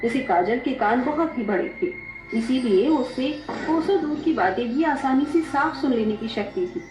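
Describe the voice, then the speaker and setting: Fairly high-voiced woman narrator, echoey. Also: lively intonation, moderate pace. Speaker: story narrator. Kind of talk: scripted dramatic storytelling. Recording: echoey, dull, music behind.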